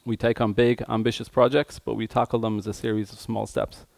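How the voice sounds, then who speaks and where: A man giving a tech conference presentation as an engineer, speaking hesitantly with frequent pauses mid-phrase. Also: fairly low pitch, flat delivery, vocal fry, darkish tone, no echo.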